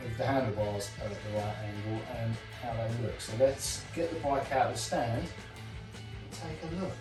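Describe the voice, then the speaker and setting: Male vlogger, mid-pitched voice, informal hands-on reviewer thinking aloud, recorded in a roomy, echoey space. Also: steady tone, moderate pace, music in the background. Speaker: bike restorer presenting his video. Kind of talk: casual hands-on product review. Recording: roomy echo, voice drifting from mic.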